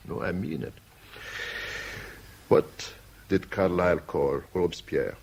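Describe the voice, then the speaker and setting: Gravelly, suave, condescending older gentleman. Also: low voice, slow, full character voice. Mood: urbane, confiding. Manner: suave, theatrical, patronising. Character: urbane, wily older man, condescending and amused. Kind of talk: radio drama acting.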